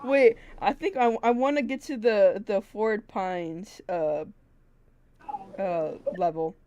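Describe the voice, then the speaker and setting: A woman's voice: medium pitch, lively intonation, slow, dark tone, muffled recording, slight background noise. Mock-exasperated, giggly woman streamer. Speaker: livestreamer. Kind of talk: casual chat while gaming.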